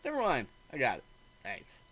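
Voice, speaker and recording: A man's voice: mid-range voice, huge pitch swings, moderate pace, a bit bright, slight background noise, low-quality recording. A man reading a visual novel aloud in a let's play, narrating the story and acting out the dialogue expressively.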